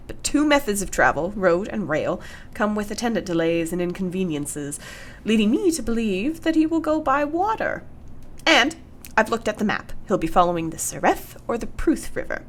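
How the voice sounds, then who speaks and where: A woman's voice: medium pitch, a little hiss or hum, natural voice. A woman in a radio play acting a brave, clever young Victorian wife, speaking earnestly with formal period diction.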